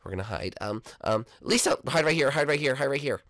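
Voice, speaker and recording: A woman's voice: a bass voice, expressive, brisk, dry recording, clean studio sound. A woman voicing a nervous, boastful young boy who stammers and hesitates, in a homemade cartoon play. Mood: panicked.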